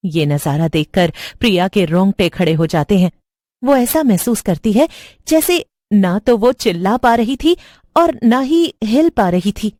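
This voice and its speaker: A woman's voice: mid-range voice, animated, a little slow, dark tone, dry recording. A woman reading a scripted horror story as its narrator, recorded close up.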